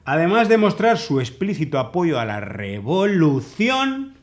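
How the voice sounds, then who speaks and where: A man's voice: a little high, very animated, unhurried. A man co-hosting a political commentary show, reading quotes aloud with ironic asides. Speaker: co-host.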